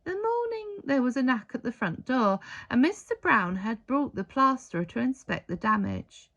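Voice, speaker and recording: A woman reading a picture book aloud in an expressive storytelling style. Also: sits high, normal speed, lo-fi sound. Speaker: story reader.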